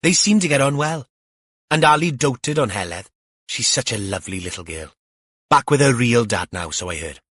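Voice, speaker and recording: A man's voice: medium pitch, animated, normal speed, a bit bright, husky, no room sound, studio quality. A man voicing a chatty, protective older woman neighbour in an audiobook, with a sing-song, emphatic delivery. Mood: gushing, fond.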